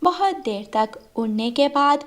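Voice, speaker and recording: A woman's voice: sits high, animated, a little slow. A woman narrating a scripted children's cartoon story.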